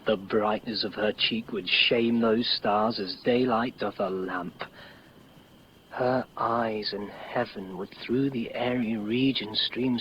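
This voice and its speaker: An actor playing an ardent, dreamy young nobleman in love, with measured, breathy poetic delivery in 1950s radio style. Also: mid-range voice. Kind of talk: 1950s radio Shakespeare acting. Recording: dull old radio recording, faint noise.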